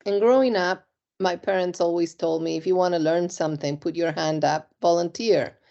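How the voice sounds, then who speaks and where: A middle-aged woman from Argentina answers interview questions in a low voice. She is measured and reflective, pausing on ums and restarting phrases. The recording sounds dull and muffled. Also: fairly even intonation, gravelly, warm tone. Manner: measured, reflective, with frequent ums and repeated words. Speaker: compliance executive, interview guest. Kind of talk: career interview.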